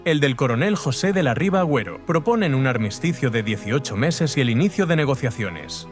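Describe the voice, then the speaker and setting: A dutiful military aide played by a male actor makes an official report in a historical drama. His mid-pitched voice is very lively in intonation, with music underneath. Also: fairly quick, lightly acted. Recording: music behind the voice.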